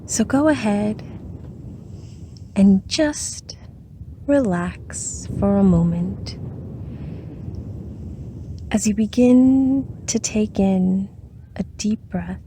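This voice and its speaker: A woman leading a guided meditation in a soothing, unhurried manner with long pauses. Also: medium pitch, dark tone, slight background noise.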